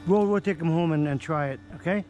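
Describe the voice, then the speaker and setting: A middle-aged man chatting excitedly while fishing from a small boat outdoors, whooping and laughing at each catch, recorded on an action camera. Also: fairly high pitch, fast, lo-fi sound, faint music behind. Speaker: fishing vlogger.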